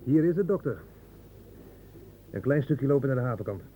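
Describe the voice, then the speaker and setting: An actor as a mellow, self-assured police inspector. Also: male voice, mid-range voice, expressive, normal speed, lightly acted, rough recording. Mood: dry, wry. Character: male police inspector, calm, self-assured, dryly humorous. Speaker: actor in a radio drama. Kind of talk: Dutch radio play acting. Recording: old radio recording, faint noise.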